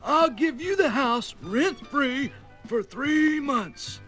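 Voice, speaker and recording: Very high-voiced, wheedling landlord. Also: male voice, lively intonation, a bit bright, faint music behind, full character voice, poor audio. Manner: ingratiating, emphatic, drawn-out salesman patter. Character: wheedling, opportunistic landlord man. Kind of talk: children's read-along record acting.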